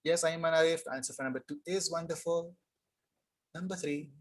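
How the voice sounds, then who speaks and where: Husky young male English teacher. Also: a little high, fairly even intonation. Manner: casual, explanatory, reads sentences aloud slowly. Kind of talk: live online tutorial reading chat answers.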